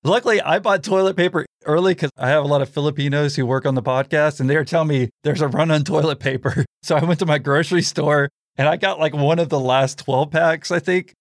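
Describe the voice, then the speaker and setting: A man's voice: a little high, a little fast, hardly any pauses, no echo, studio quality. A man hosting a podcast in a casual interview style, informally checking and restating details for his listeners. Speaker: podcast host.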